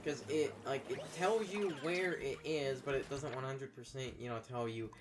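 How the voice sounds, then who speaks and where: Male co-host for casual game commentary, medium-pitched, wandering and stop-start, with music clearly underneath. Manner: rambling, halting, circles back to his point. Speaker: gaming video co-host.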